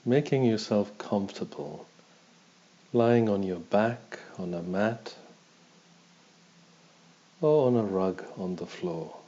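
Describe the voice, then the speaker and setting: A man leads a guided meditation in a dark, soft-edged voice. He speaks very slowly and calmly, giving measured instructions. The audio is somewhat dull and muffled. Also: lowish voice. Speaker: meditation teacher. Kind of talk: guided body scan meditation.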